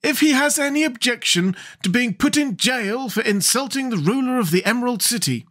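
A male reader puts on a fussy scarecrow king for a storybook, pitched very high and swinging widely up and down. He is stately, wordy and admonishing, a pompous ruler who is easily bewildered. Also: lively intonation, brisk, a bit bright, heavily acted. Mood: severe. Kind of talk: storybook character voice.

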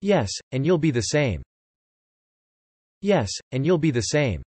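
A man's voice: a little high, sing-song, measured pace, a little mellow, breathy edge. A man narrating an English lesson, reading example sentences and definitions aloud and repeating each one evenly.

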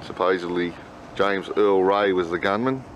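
A man's voice: low voice, moderate pace, lo-fi sound. A middle-aged man narrating his travels casually to a camera held at arm's length outdoors. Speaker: travel vlogger. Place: outdoors by motel and city streets. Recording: noisy outdoor camcorder audio, street sounds.

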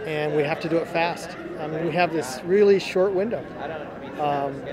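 Urgent man, slightly high voice, crowd murmur behind. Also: normal speed. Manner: urgent, emphatic. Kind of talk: interview answers.

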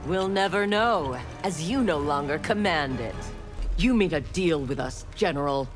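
A woman's voice: low voice, lively intonation, a little slow. A woman playing a proud, cold female ninja warrior and engineer in a video game, speaking in curt, threatening lines. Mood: proud defiance. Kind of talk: video game fight intro dialogue. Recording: music behind.

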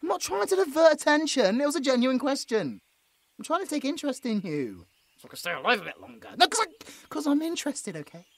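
A man's voice: very high voice, big pitch swings, quick pace, no echo, full character voice. A British man playing a nervous, squeamish young man who pleads and stammers his way through a roleplay audio drama. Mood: anxious pleading. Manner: stammering, flustered, exasperated.

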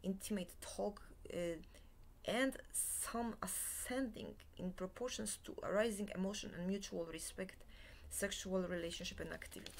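A woman in her forties, a Polish native speaker, giving a halting, half-whispered talk into a laptop webcam in a small bed-and-breakfast room. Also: mid-range voice, steady tone, gravelly, slight background noise, poor audio. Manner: halting, many filler pauses, often half-whispered. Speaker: self-help lecturer. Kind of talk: slow unscripted monologue to webcam.

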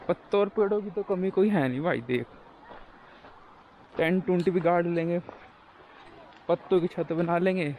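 A man's voice: a little high, vocal fry, dark tone, slight background noise, poor audio. A young man talking casually to camera while hiking a forest trail, joking in a running commentary to himself. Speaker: travel vlogger.

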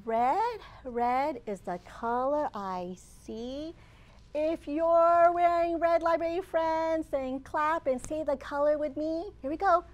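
A woman in her thirties, a children's librarian hosting storytime, reading aloud expressively and deliberately with dramatic emphasis for young children. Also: high voice, dull audio. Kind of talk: animated read-aloud of a picture book for young children. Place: open library children's area, indoors.

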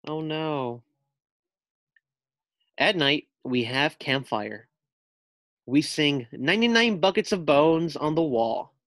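A man's voice: fairly high pitch, slow, long pauses, no echo. Man reading to children, close dry sound. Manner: expressive reading with simple explanatory asides. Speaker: teacher reading aloud to pupils. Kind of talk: read-aloud of a children's picture book.

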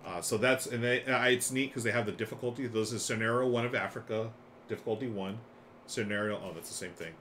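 A man's voice: lowish voice, fast. A middle-aged man hosting a board game live stream, chatting casually and enthusiastically as he explains games. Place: small room lined with board games. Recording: clear steady background noise.

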